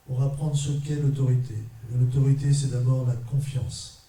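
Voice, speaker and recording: A middle-aged trade unionist from France addresses an audience in a measured, emphatic way, his voice very dark and mellow. He is heard from a distance in a large hall with strong echo. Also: medium pitch, steady tone. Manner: measured, emphatic, short repeated phrases. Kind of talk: conference talk to an audience. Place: large echoey parish hall. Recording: echoey hall, mic far off.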